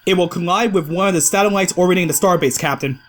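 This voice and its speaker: A man's voice: sits high, fast, close to an everyday voice. A man playing a dutiful starship helm officer in a sci-fi audio drama. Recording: clearly noisy track with clicks.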